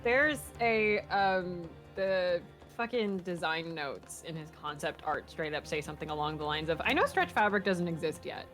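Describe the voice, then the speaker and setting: A woman's voice: on the low side, expressive, moderate pace, hardly any pauses, fairly bright tone. A woman co-hosting a game stream, laughing and swearing through casual asides. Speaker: co-host. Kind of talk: casual let's-play chat. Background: game music.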